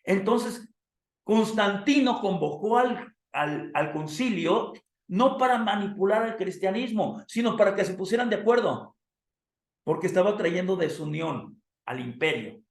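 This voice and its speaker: Hoarse man lecturing in an echoey room. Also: sits high, expressive, unhurried. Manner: asks the class questions and addresses them as "amados". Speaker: theology teacher. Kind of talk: classroom lecture with preacher cadence.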